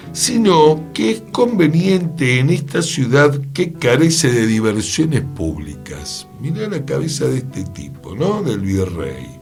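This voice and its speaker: Animated Buenos Aires man hosting radio. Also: mid-range voice. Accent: Argentine, Buenos Aires. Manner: chatty, storytelling, poses questions to co-host. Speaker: radio host. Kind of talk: conversational radio presenting about theatre history.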